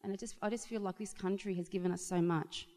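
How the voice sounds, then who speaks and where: A woman's voice: medium pitch, steady tone, fast talker, warm tone. A woman speaking from the audience in a question-and-answer discussion, hesitant and heartfelt, with many fillers. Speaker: audience member. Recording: long reverb of a hall.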